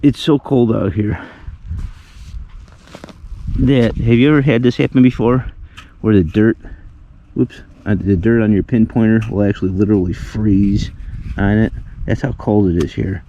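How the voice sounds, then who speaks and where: A man from Western New York with a hoarse voice from a cold, narrating his metal detecting finds outdoors in the snow, rambling and excited over each relic. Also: lowish voice, moderate pace, dull audio. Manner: rambling, enthusiastic about finds, many fillers. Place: outdoors in a snowy old park.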